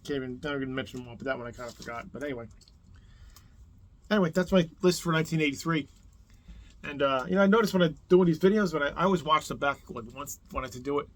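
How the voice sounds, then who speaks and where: A man's voice: fairly high pitch, fast. A man from New Jersey with a Jersey accent hosts a casual album countdown on his video channel, rambling with lots of fillers and restarts. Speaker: YouTube host.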